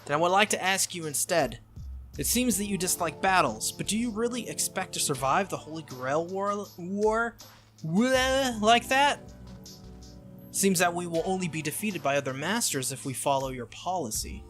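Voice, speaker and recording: A man's voice: high voice, lively intonation, normal speed, fairly bright tone, soft background music. A man voicing a stern, proud female knight spirit from a visual novel, speaking formally and insistently. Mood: questioning.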